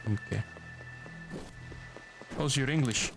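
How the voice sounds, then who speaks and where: A man's voice: medium pitch, slow, vocal fry, rough recording. Venezuelan man streaming a game. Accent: Venezuelan Spanish, Maracaibo. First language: Spanish. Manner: chatty, playful, reads on-screen text aloud. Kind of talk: casual live-stream commentary while gaming. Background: game music.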